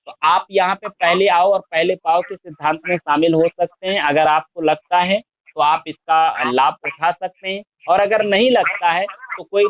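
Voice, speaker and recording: A man making a long sales pitch on a phone call, reeling off figures at length and pressing the listener. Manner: persistent, explains at length with long lists of figures. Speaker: scheme promoter on a phone call. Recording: tinny phone line, dry.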